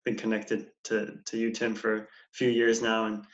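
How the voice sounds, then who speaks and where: A man in his forties, a counselor, telling his life story as a guest in a relaxed, meandering way with many fillers. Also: lowish voice, normal speed. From United States. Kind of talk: casual interview. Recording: dull, echoey room sound.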